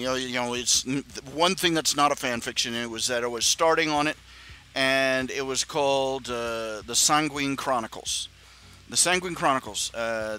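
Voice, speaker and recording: A man's voice: mid-range voice, pushed and bright. A man hosting a live stream, talking in a casual rambling monologue with many stammering restarts and chuckling asides.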